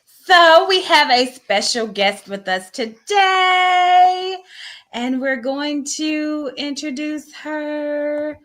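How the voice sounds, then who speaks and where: A woman's voice: high voice, very slow, a bit bright. A woman in her forties co-hosting a casual chat, chatty and laughing often. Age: 40s. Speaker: co-host.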